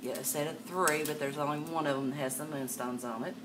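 Older woman host, low voice, chatty live-sale patter, webcam a bit far off with room noise. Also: steady tone, quick pace. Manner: chatty, calls viewers darling and miss. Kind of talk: live sales stream, chatting to viewers. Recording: distant mic with background noise.

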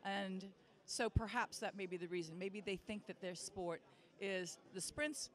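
A woman's voice: mid-range voice, unhurried. A woman in a documentary interview, musing aloud with many restarts.

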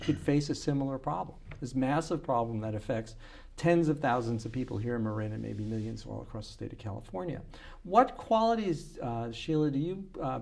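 An older man hosting a studio interview, speaking slowly and haltingly with many fillers. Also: mid-range voice, creaky, a little mellow, husky. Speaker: talk show host, moderator. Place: small studio with dark curtains. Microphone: lapel mic.

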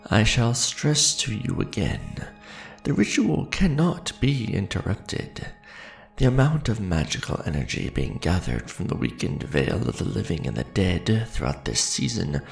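A man playing a centuries-old aristocratic vampire lord in an ASMR roleplay, with a gravelly voice and formal, grandiose, measured delivery. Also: fairly low pitch, exaggerated voice. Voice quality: gravelly, creaky. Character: centuries-old male vampire lord, imperious, aristocratic, tender to his beloved.

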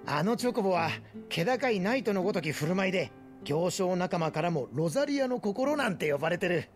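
Game merchant dude, higher voice, folksy rough talk, friendly, music behind him. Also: male voice, animated, a little fast. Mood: grateful. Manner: folksy, rough masculine speech. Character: grateful traveling merchant, friendly rough-talking commoner man. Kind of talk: dramatic video game voice acting.

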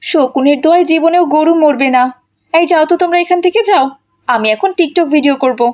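Cartoon wife played by a woman: selfish, stubborn, high-voiced, whiny and defiant, melodramatic. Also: fairly quick, darkish tone, lightly acted. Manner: defiant, whining, dramatic. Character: brash, selfish, stubborn social-media-obsessed wife.

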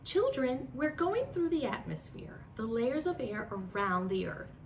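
Storybook teacher, played by a woman reader: quirky, excitable, high swooping voice, addressing children. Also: unhurried, recorded from a distance, slight background noise. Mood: cheerful, instructive. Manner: bright, instructive exclaiming to children. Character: excitable quirky woman schoolteacher leading a class.

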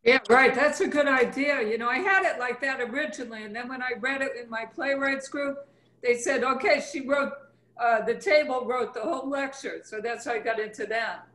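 A woman's voice: sits high, brisk, a bit bright. A woman from California, a playwright, chatting casually and readily amused on a video call. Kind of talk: casual chat. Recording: roomy, echoing video call.

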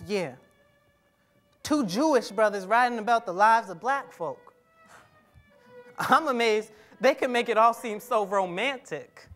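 Young man playing high-voiced, defiant teenage boy. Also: lively intonation, a bit bright, soft background music. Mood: bitter. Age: young adult. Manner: folksy vernacular, halting emphatic delivery. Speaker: actor in a dramatic reading. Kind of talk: staged dramatic reading. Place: theatre-like stage in a conference hall. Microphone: lapel.

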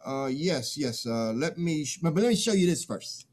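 A man's voice: fairly high pitch, animated, normal speed, darkish tone. A middle-aged man playing a boastful, stubborn early human in a dramatic play reading. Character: first Man, boastful, greedy, stubborn, awkward.

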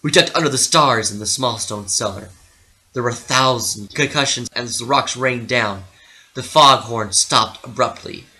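A man narrating a literary story dramatically, with theatrical, deliberate phrasing. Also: mid-range voice, sharp tone, low-quality recording.

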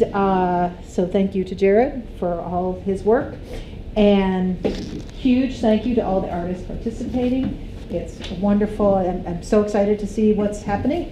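A woman hosting an event's opening remarks, halting with many fillers. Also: medium pitch, dark tone, dull audio, a little hiss or hum. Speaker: event host. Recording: echoey hall recording.

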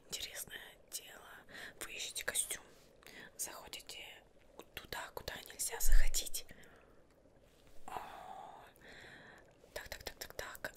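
A young woman playing a fussy, anxious but polite witch seamstress in a whispered ASMR roleplay, muttering and repeating herself close to the microphone. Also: lightly acted. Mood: hesitant, polite. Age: young adult. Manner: muttering, repeating words, fretful. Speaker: ASMR performer. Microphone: close sensitive microphone.

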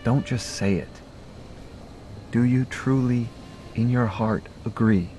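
A man's voice: mid-range voice, slow, warm tone, soft background music, lightly acted. A man playing an earnest, intense young man in an audio drama, solemn and persuasive as he rallies his companions. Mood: solemn, urgent.

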